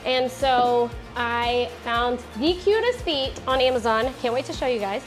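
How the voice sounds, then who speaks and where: A woman in her thirties with a high, light voice talks through a furniture build in her garage workshop. She is chatty and laughs easily, often correcting herself mid-thought. Soft music plays quietly underneath. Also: a little slow. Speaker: DIY woodworking host. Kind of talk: casual build tutorial.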